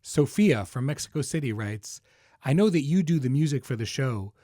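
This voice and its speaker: A man's voice: medium pitch, lively intonation, normal speed, dark tone, dry recording. Dry-recorded, casual middle-aged male podcast host. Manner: conversational, wry, informal. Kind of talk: casual podcast address, answering listener questions.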